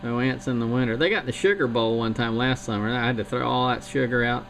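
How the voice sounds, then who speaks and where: A man from Missouri in casual chat, speaking in a slow, folksy, plain-spoken way. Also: mid-range voice, creaky. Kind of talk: casual chat over radio link. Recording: radio link buried in loud noise.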